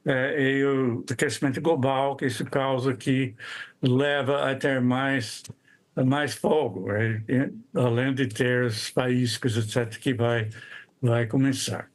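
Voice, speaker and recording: An older man with an American accent, a native English speaker, giving a scientific presentation haltingly as he searches for words. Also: mid-range voice, fairly even intonation. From United States. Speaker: biologist and climate scientist. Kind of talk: expert presentation.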